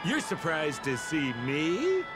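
An actor playing a smooth-talking, over-friendly rogue in a video game, theatrical and ingratiating. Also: male voice, a little high, huge pitch swings, takes it slowly, full character voice. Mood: gushing, sly. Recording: game music under the voice.

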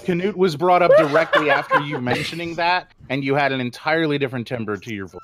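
A man's voice: fairly high pitch, quick pace, over loud music. A man arguing heatedly on a video-call podcast, accusing the other of personal remarks.

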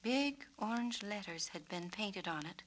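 A woman reading a children's storybook as narrator, with a measured, expressive delivery. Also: mid-range voice, slight background noise. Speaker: author and narrator.

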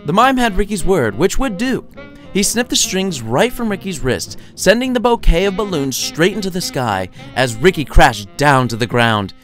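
A man's voice: sits high, sing-song, moderate pace, fairly bright tone, faint music behind. A man reading his own story as a wry, theatrical narrator. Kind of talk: lively scripted storytelling narration.

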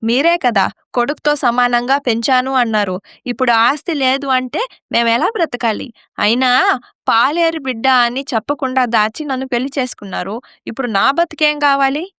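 A woman playing a resentful, sharp-tongued young daughter-in-law in a family story. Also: high voice, normal speed, clear, resonant tone, no echo, clean studio sound. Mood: aggrieved. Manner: accusing, defiant.

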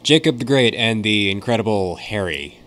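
A man's voice: lowish voice, animated, moderate pace, fairly bright tone. A man playing an earnest, bookish young factory worker friend in a radio drama.

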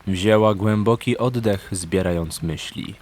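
A man's voice: fairly low pitch, a little slow, darkish tone, slight background noise. A man narrating a scripted fantasy story as an audiobook narrator. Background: background music.

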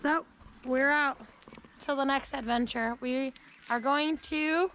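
High-voiced young woman vlogging outdoors. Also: steady tone, slow, a bit bright. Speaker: travel vlogger. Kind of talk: casual vlog chat to camera. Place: outdoors by roads, woods and parking lots. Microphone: handheld action camera at arm's length. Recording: outdoor noise and clicks on handheld camera.

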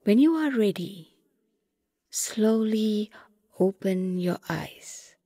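A woman narrating a scripted guided meditation softly and gently, often close to a whisper, with long unhurried pauses. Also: mid-range voice, lively intonation, no room sound. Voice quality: soft, breathy, often near whisper.

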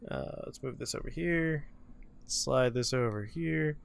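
A man's voice: mid-range voice, a little slow, creaky, clear, resonant tone. A man hosting a gaming show, giving casual video game commentary with wry, playful asides.

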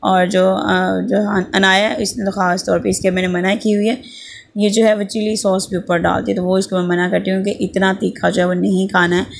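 A woman's voice: mid-range voice, little pitch movement, a little fast, gravelly, slight background noise. A woman in her thirties with a Pakistani Urdu accent, a mother narrating a home cooking vlog in chatty, rambling voice-over, her throat sore and a slight fever on her. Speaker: vlogger, mother. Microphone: voice-over at close mic.

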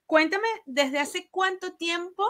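A woman's voice: extremely high pitch, slow pace, a bit bright. A woman with a Venezuelan accent hosting a casual radio interview in an affectionate, bubbly manner, close on a studio mic. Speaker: radio show host. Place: small living room at home, indoors. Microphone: studio microphone on a boom arm, close.

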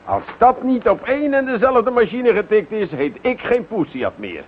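Pompous police commissioner, muffled radio sound. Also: male voice, high-pitched, big pitch swings, moderate pace. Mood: gloating. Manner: muttering to himself, blustery, self-important. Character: pompous, self-satisfied, bumbling male Dutch police commissioner. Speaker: actor in a radio play. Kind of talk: radio drama acting. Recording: muffled, noisy old radio recording.

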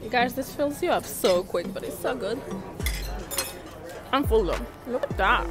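A woman's voice: on the high side, expressive, measured pace, over music, a crowd in the background. A young woman chatting enthusiastically to camera as she reviews food at an outdoor waterfront restaurant, speaking into an earphone mic. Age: young adult. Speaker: food vlogger. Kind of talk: casual vlog chat to camera. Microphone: wired earphone mic.

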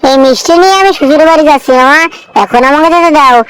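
A woman's voice: high voice, quick pace, a bit bright, low-quality recording. A woman voicing an anxious, deferential fox husband in a cartoon, pleading and fretful. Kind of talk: cartoon character acting.

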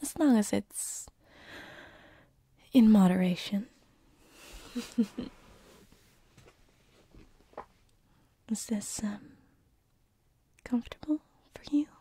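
Woman playing a breathy, whispering tender demon girl. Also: medium pitch, animated, warm tone, exaggerated voice. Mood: tender, teasing. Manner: intimate, hesitant, slow and soothing. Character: tender lovestruck female sleep paralysis demon, former succubus. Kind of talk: binaural ASMR roleplay. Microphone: close binaural microphone.

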